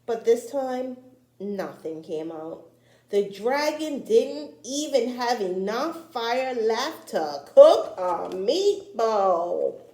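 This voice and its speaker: A woman reading a children's storybook aloud in an expressive storytelling style. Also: a little high, very slow. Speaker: storybook reader. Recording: echoey room.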